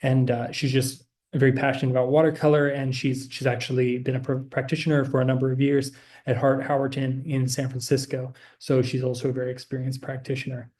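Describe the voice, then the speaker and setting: A man's voice: medium pitch, level tone, a little fast, darkish tone. A man giving a casual slideshow presentation about a study program, talking with many fillers and restarts.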